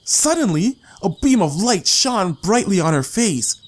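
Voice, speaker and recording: A man's voice: high-pitched, big pitch swings, unhurried. A man narrating a story as a scripted, dramatic audiobook reading.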